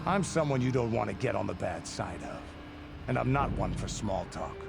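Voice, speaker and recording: Scornful game mercenary, gruff with gravelly creak, mid pitch, music behind. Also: male voice, expressive, normal speed. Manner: gruff, dismissive. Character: tough, contemptuous mercenary hard man.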